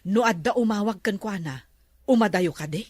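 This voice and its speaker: A woman's voice: mid-range voice, normal speed, husky, dry recording. A woman with an Ilocano accent, playing a gossipy friend who gives advice in a comedy drama. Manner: gossipy, knowing.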